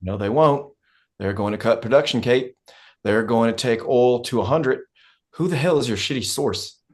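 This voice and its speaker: A man playing a gruff, sarcastic, profane oilfield fixer in a script read, talking in a blunt, folksy way. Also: mid-range voice, moderate pace, close to an everyday voice. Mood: scornful.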